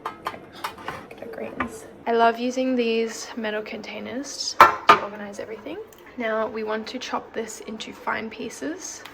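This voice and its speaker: A young woman talking casually through her cooking with a slightly hoarse, croaky voice, full of "like" fillers. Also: fairly high pitch, fairly even intonation, measured pace, very noisy. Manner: chatty, lots of "like" fillers. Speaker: vlogger.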